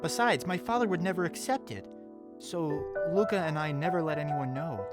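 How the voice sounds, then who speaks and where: A woman's voice: low voice, moderate pace, loud music behind the voice, lightly acted. A woman playing a reserved, polite teenage boy in a fan audio drama, with a hesitant manner. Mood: guarded.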